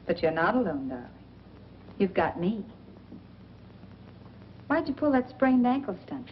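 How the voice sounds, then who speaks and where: A woman's voice: medium pitch, sing-song, measured pace. An actress playing a shrewd, world-weary woman drawn to bad men, knowing and sardonic in a 1940s noir style. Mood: sardonic probing. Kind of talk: 1940s film noir acting. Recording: dull, noisy old film soundtrack.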